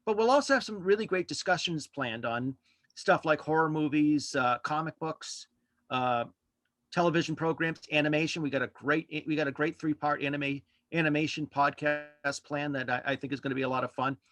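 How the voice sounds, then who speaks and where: A man talking casually as a podcast host being interviewed in a relaxed conversational exchange. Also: on the high side, normal speed, no echo. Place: indoors at home, on a video call.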